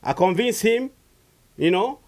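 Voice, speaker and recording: A man from Cameroon, a comedian guest, telling his story in a casual, lively way. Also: measured pace. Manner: animated, rising pitch when retelling stories.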